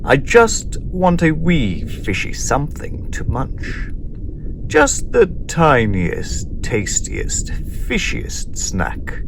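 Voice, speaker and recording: A man acts out a big, mean storybook shark with a greedy, sneering streak. He wheedles with false politeness in swooping, animated pitch, over clearly audible background noise. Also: very slow, exaggerated voice. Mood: wheedling. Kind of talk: children's storybook character voice.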